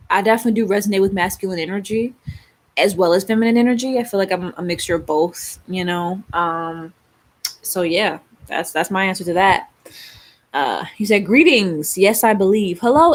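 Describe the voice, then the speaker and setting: A young woman from Baltimore with a Baltimore accent, hosting a casual live stream with rambling, laughing talk and lots of fillers. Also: medium pitch. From Baltimore, Maryland. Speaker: livestream host.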